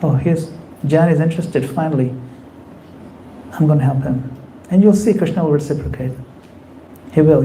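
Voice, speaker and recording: Man teaching, mellow and slightly high. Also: a little hiss or hum, rough recording. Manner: conversational, acts out quoted voices. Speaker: spiritual teacher. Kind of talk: temple class with Q&A. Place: temple hall.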